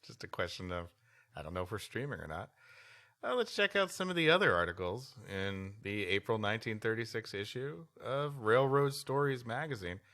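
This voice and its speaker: A man's voice: on the low side, animated, moderate pace, bright, forceful tone. A man co-hosting a podcast, mixing read-aloud history with wry, rambling banter. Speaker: podcast co-host. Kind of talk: reading a history story aloud with joking banter.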